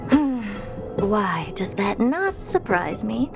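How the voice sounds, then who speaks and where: A woman's voice: medium pitch, very animated, slow, vocal fry, music in the background, full character voice. A woman voicing a haughty, exasperated genius scientist in a video game, imperious, curt and condescending. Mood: skeptical. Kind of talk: video game character acting.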